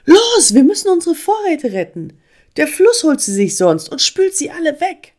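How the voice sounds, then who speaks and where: A woman playing a startled, earnest female squirrel in a children's story, expressive and exclaiming. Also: high-pitched, moderate pace, pitched above the performer's own voice. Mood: alarmed.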